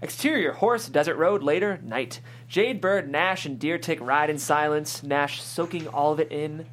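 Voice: medium pitch, moderate pace, a bit bright. A person reading screenplay stage directions aloud as scripted narration at a table read.

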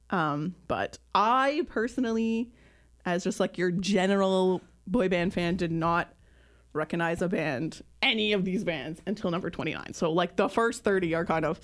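Chatty Canadian woman in her thirties. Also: lowish voice. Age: 30s. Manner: chatty, with many ums and pauses. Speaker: podcast host. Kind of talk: casual chat.

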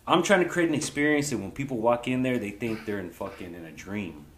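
A man's voice: on the low side, animated, fairly quick, a bit bright, reverberant. A man in his forties from Texas, talking casually about his cannabis brand. He swears freely and says "bro" a lot. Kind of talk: casual podcast chat.

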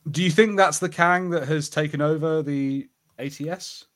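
A man's voice: a little high, steady tone, normal speed. A man co-hosting a podcast, talking in casual, unscripted chat. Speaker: podcast co-host.

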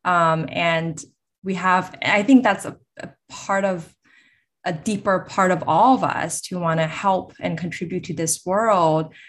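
A woman hosting a podcast interview, asking her questions gently and haltingly with many fillers. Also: lowish voice, steady tone. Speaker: podcast host.

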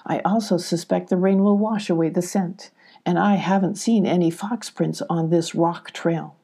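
A woman's voice: lowish voice, fairly even intonation, normal speed, mellow, breathy edge. A woman voicing a big, practical, floppy-eared male dog in a children's storybook, in a plain-spoken way. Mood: practical, calm.

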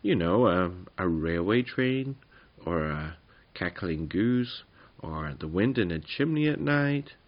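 A man's voice: sits low, lively intonation, slow pace, dull audio. A man voicing a tall, friendly, modest male goblin in a breezy storybook manner. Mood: modest, eager.

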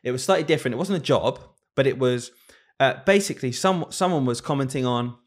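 Fast-talking man, earnest. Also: mid-range voice. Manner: quick, earnest, thinks aloud with restarts. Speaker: primary school teacher, podcast co-host. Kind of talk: casual podcast discussion.

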